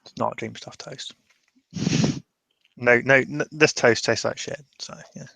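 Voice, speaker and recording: A man playing in a tabletop roleplaying game, improvising casually in a halting way and repeating words. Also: mid-range voice, fairly even intonation, vocal fry, sharp tone, dry recording.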